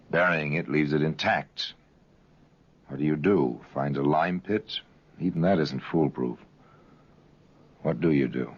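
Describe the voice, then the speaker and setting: A man narrating a dramatic old radio crime series in a grave, deliberate storyteller's manner. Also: very deep voice, husky, heavily acted. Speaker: narrator and host. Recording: dull vintage radio recording, loud music behind.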